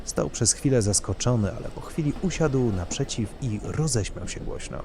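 A man's voice: mid-range voice, expressive, normal speed. A man reading scripted literary narration for an audio drama. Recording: clear background noise of urban ambience.

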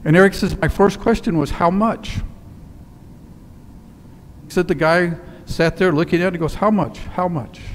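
An older male priest preaching from the front of a church, his slightly high voice carrying through a long reverb. He tells stories plainly and sinks to quiet, slowed emphasis, with a faint hum of the room behind him. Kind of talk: sermon. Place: church sanctuary in front of altar. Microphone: headset mic.

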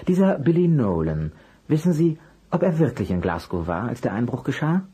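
An actor playing an amiable, patient private detective in a German children's radio play, husky, polite and a little hesitant. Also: male voice, mid-range voice, very animated, moderate pace, dark tone. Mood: polite, probing.

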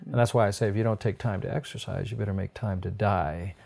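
A man's voice: fairly low pitch, fairly even intonation, fast talker, creaky, darkish tone, breathy edge. A middle-aged American man giving conversational health advice in a folksy, explanatory way. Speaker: health doctor and program host. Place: indoors on a sofa in a book-lined room.

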